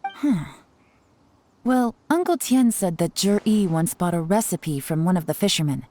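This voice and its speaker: Sly female intel agent, low-voiced and unhurried. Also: no room sound. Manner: calm, measured, quietly confident. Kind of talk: video game voice acting.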